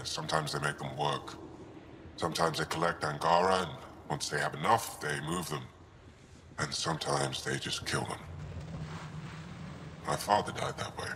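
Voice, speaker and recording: An actor playing a grim alien man who speaks bluntly and urgently about his family, with a gravelly voice. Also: a bass voice, wildly swooping pitch, normal speed, pauses often, pushed and bright, slight background noise, exaggerated voice. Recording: game dialogue over clear music. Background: music.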